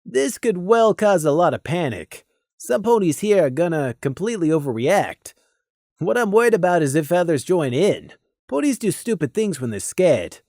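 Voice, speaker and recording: A man's voice: high voice, very animated, normal speed, a little mellow, dry recording, studio quality, pitched above the performer's own voice. Man playing a blunt, commanding pony investigator. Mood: worried. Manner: authoritative, blunt.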